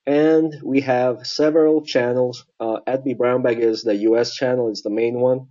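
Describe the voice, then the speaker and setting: A man hosting an online tech show, introducing the guest in a friendly, halting way with many fillers. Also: mid-range voice, fairly even intonation, mellow, dull audio. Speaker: host.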